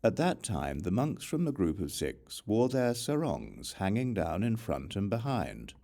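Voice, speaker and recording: A man reading scripture as an audiobook narrator, in a measured, formal recitation. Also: fairly low pitch, big pitch swings, gravelly, warm tone. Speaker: audiobook reader.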